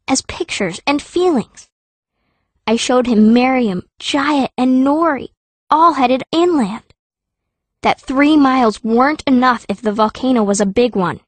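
A fierce, earnest young girl narrates an audiobook in the first person. Her voice sits fairly high, in a dry, close recording. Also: dry recording.

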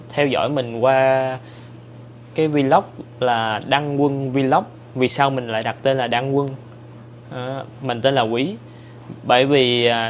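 A man in his thirties from Vietnam talks casually to the camera through a clip-on lapel mic. He speaks in an easy, hesitant way, with many small fillers. A steady noise runs behind his voice. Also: mid-range voice, dull audio. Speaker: vlogger. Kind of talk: casual vlog tour. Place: indoor back room at work, then walking outside and through a nail salon.

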